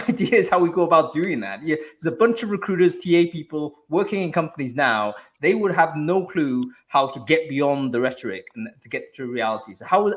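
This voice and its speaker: A man in his forties hosting a video-call panel discussion, laughing easily and steering the talk with questions. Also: a little high. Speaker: host. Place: home room, video call. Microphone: headset.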